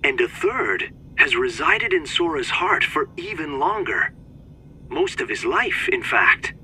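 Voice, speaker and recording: An actor playing an earnest young male researcher, speaking in measured, formal phrases in a video game cutscene. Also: on the high side, wildly swooping pitch, pushed and bright, slight background noise, full character voice. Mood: scholarly, intrigued. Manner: measured, precise, formal reading.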